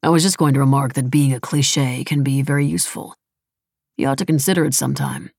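A woman voicing a cool, calculating, controlled man in an audiobook, speaking in a measured, deliberate and persuasive way. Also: extremely low pitch, fairly even intonation, vocal fry, darkish tone, no echo, clean studio sound, exaggerated voice. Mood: coolly amused.

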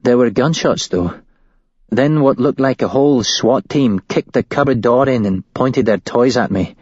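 A man's voice: mid-range voice, a little slow, a little mellow, breathy edge, dry recording, dull audio, voice pushed up. A man voicing a shaken young woman who was held hostage, recounting it shakily and at a tumble. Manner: shaky, tumbling recollection.

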